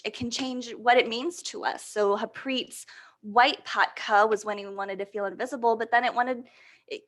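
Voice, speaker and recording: A woman with a fairly high voice leads a storytime for young children. She reads in an animated, playful style and guides little listeners through actions. Her manner is encouraging, often checking in with them as she goes. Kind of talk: animated picture-book reading and action songs for young children.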